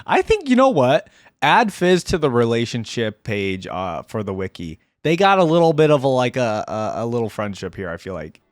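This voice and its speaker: Male reaction host, animated and casual, excited commentary, mid pitch. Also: moderate pace, no room sound, clean studio sound.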